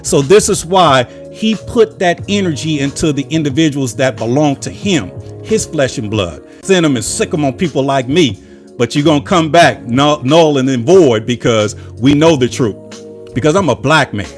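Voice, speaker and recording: A man's voice: a little high, animated, normal speed, faint music behind. An American man hosting his own political commentary channel, delivering an impassioned monologue full of rhetorical repetition. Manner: preachy, with rhetorical repetition and emphatic lists.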